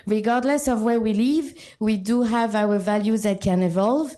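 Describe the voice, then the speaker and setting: A woman with a French accent giving a short conference talk to a live audience, often pausing with ums and restarting sentences. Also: a little high, mellow. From born in Sri Lanka, French and Italian parents. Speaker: conference speaker.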